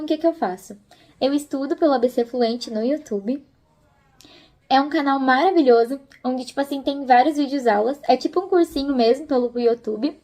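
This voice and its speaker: High-pitched young Brazilian woman. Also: dark tone. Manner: informal, encouraging. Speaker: host of a video channel. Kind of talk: casual video chat giving tips.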